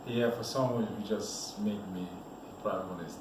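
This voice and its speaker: A man from Cameroon, a model telling his life story in a relaxed, rambling way as an interview guest. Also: lowish voice, fairly quick. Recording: echoey room, voice from a distance, noisy background.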